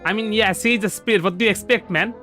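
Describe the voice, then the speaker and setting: A game streamer from India giving casual, halting, musing reactions while playing. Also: medium pitch, bright, forceful tone, faint music behind.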